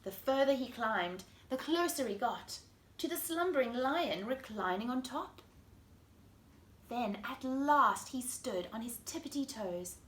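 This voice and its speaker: A woman's voice: a little high, animated, measured pace, fairly bright tone. A woman from South Africa with a South African accent reads a picture book aloud to children, expressively and addressing them directly. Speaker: children's story reader. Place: living room indoors. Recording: echoey room with background noise.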